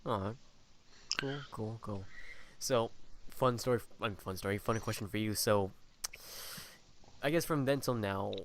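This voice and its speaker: A man in his early twenties hosting an interview podcast, chatty and jokey, with quick reactions to his guest. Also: lowish voice, slight background noise. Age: early 20s. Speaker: podcast host.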